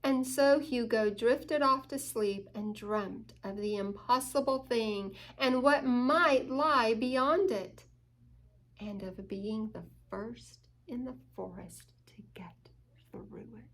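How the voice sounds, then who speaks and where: A woman reading a children's picture book aloud in an expressive, deliberate storytelling manner. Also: on the high side. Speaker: storytime reader. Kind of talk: children's picture-book read-aloud with asides to young listeners.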